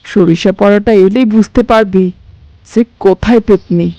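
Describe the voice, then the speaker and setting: A woman's voice: medium pitch, unhurried, warm tone, no echo, lo-fi sound, natural voice. A woman voicing a stern, suspicious middle-aged mother-in-law in a cartoon, scolding and commanding. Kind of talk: Bengali cartoon voice acting.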